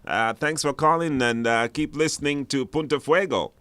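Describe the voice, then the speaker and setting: A man's voice: a little high, normal speed, a bit bright, clear, resonant tone, no echo. A man casually hosting a live radio call-in show, chatty and encouraging, speaking in a studio.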